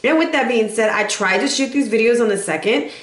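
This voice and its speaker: A woman giving a casual, sassy tarot forecast, chatty and playful, a little hoarse from recovering from bronchitis. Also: a little high, fairly quick. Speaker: tarot reader. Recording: echoey room.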